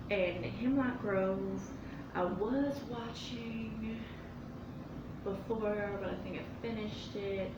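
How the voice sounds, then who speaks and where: A woman's voice: fairly high pitch, far from the mic, muffled recording. A young woman chatting casually in a vlog, answering viewer questions, meandering with lots of fillers and restarts. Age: young adult. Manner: meandering, with many fillers and self-corrections. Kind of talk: casual rambling vlog chat. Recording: echoey room, off-mic, noisy.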